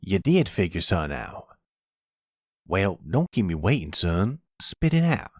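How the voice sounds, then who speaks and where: A man's voice: sits low, sing-song, measured pace, no echo, studio quality, exaggerated voice. A man playing a middle-aged mafia boss in a roleplay audio drama, commanding and clipped yet affectionate. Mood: firm, expectant.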